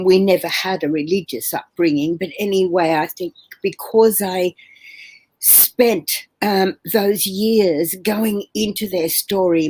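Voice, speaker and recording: A woman in her seventies telling her personal story as an interview guest on a video call from home, earnest and halting with many fillers and restarts. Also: on the low side, darkish tone, breathy edge. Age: 70s. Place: home room.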